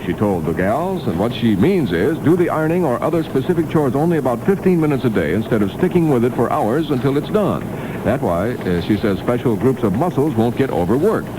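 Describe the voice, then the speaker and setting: Male American radio feature reporter, wry and animated, on a noisy vintage aircheck. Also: mid-range voice. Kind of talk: light feature news report and banter.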